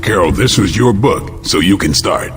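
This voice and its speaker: An actor playing a gruff, dry-witted vampire slayer in a video game, with laconic delivery. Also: male voice, deep voice, normal speed, natural voice. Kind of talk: video game cutscene acting. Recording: music behind the dialogue.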